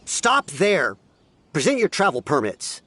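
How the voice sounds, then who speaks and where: A stern, rule-bound border guard from a video game. The slightly raised voice gives curt commands with animated intonation. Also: male voice, fairly high pitch, unhurried, heavy background noise.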